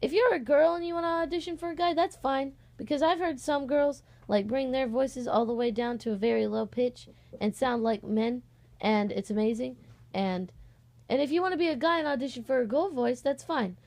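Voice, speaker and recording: A young woman with a fairly high voice speaks in an informal vlog style. She rambles along hesitantly, stopping to correct herself and picking the thought back up as she asks her followers to take part. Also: normal speed, vocal fry, a little mellow. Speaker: online voice-acting channel host. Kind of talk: casual vlog, audition call.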